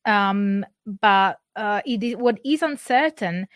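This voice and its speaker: A woman talks through scholarly sources in a careful, measured lecture. Her voice sits in a middling range, neither high nor low. The sound is dry, with almost no room around it. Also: dry recording. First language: Italian. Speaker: presenter. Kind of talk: academic lecture.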